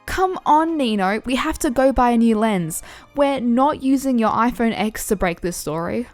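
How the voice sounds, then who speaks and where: A woman voicing an excitable, determined young female reporter in a fan fiction audio story, with an eager, animated delivery. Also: on the high side, moderate pace, no echo, faint music behind, natural voice. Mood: urgent, breezy.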